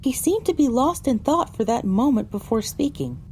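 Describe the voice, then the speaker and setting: A woman's voice: sits high, lively intonation, moderate pace, darkish tone, a little hiss or hum. A woman narrating a first-person story for an audio drama, reading descriptively with dramatic phrasing.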